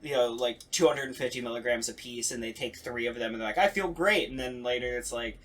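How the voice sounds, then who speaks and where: A man's voice: medium pitch, quick pace, fairly bright tone. A man, a voice actor, chatting casually on a talkback podcast and acting out bits of dialogue as he tells stories. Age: adult. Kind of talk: casual chat.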